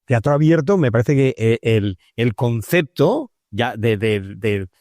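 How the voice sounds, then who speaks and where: A man's voice: medium pitch, expressive, unhurried, a little mellow, dry recording, clean studio sound. A man with a Peninsular Spanish accent interviewing conversationally, searching for words and often checking for agreement.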